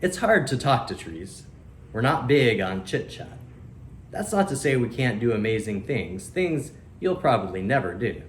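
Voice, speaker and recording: A man voicing a friendly, proud, wry oak tree in a children's storybook, chatty and emphatic with deliberate pauses. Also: medium pitch. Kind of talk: children's storybook read-aloud. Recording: roomy echo, faint background noise.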